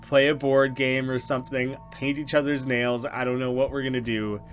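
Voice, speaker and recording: A man hosts a gaming video, commenting as he plays. His voice sits at a middle pitch for a man. Music runs behind him as he talks. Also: flat delivery, moderate pace. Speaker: let's play host. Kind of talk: game commentary and reading dialogue aloud.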